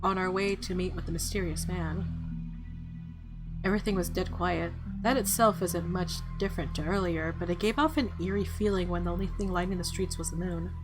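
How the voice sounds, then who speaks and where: A woman's voice: lowish voice, fairly quick, low-quality recording. A woman reading a visual novel aloud, switching between story narration and casual, playful commentary. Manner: expressive, playful asides. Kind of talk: dramatic narration mixed with casual playthrough commentary. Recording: game music behind the voice.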